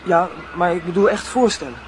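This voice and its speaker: A high-pitched, earnest teenage boy played by a man in a radio drama. He is lovestruck and nervous, hesitating and then insisting on every detail. Clear ambient noise surrounds the voice. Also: brisk, close to an everyday voice. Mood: shy, eager. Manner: insistent, hesitant, repeats instructions.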